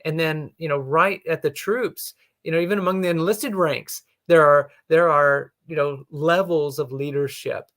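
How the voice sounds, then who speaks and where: A man with a fairly high voice explains ideas in an unhurried, thoughtful way. He fills his pauses with "you know" and works through his points as he talks. It sounds like casual podcast conversation. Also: steady tone, fairly bright tone.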